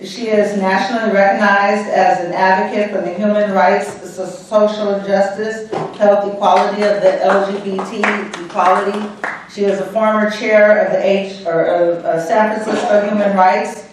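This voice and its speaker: A woman emceeing an event, reading an introduction haltingly and stumbling over words. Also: mid-range voice, little pitch movement, slight background noise. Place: event hall. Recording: echoey hall from far off.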